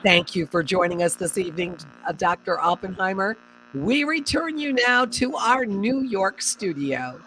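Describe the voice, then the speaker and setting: A woman's voice: mid-range voice, animated, a little slow, far from the mic, natural voice, low-quality recording. An actress playing a composed female radio news announcer, delivering bulletins formally in an old-time radio drama. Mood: courteous, inquiring.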